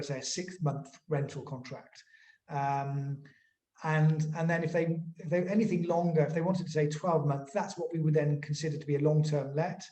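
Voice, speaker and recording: A man, a lawyer explaining things informally in an interview, haltingly and often repeating words. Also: on the high side, mellow. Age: 40s. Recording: roomy, echoing sound.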